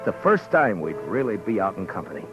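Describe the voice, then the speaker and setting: A deep, laconic frontier marshal. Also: male voice, sing-song. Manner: slow, laconic, measured narration. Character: calm, reflective frontier marshal. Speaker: frontier lawman and narrator. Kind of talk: 1950s radio drama acting. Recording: dull old radio recording with music under narration.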